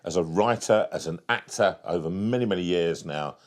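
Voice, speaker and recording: A man interviewing in a conversational style, earnest and halting, repeating words for emphasis. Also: deep voice. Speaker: interviewer, host.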